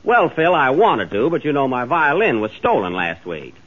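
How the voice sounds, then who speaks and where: Exasperated man on old radio, lively pitch. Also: normal speed. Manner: exasperated, put-upon. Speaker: comedian host. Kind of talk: scripted radio comedy. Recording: muffled 1930s radio broadcast with studio audience and hiss.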